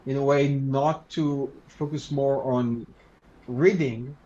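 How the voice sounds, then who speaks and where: A man whose first language is Hebrew, a law researcher and lecturer answering interview questions in a measured, halting way with frequent restarts. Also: mid-range voice, steady tone, warm tone, muffled recording. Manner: measured, halting, with many restarts and "you know".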